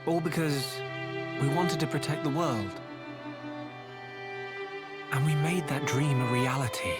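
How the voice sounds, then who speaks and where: A man's voice: fairly high pitch, measured pace, over loud music, lightly acted. A man playing a thoughtful young hero in a video game, speaking sincerely and reflectively. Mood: reflective, determined. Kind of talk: dramatic video game voice acting.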